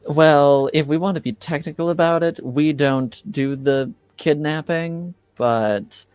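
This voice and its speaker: A man's voice: on the high side, unhurried, a little mellow, clean tone, dry recording, voice pushed up, close to an everyday voice. A man playing a polite, flustered senior enchanter in a tabletop roleplay, speaking formally and hesitantly.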